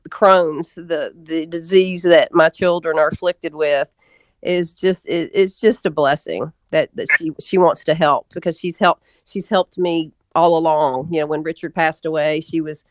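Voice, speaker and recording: A woman's voice: deep voice, fairly even intonation, unhurried, no room sound. Earnest woman chatting in a dry-sounding interview. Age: middle-aged. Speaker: interview guest. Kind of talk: casual interview conversation.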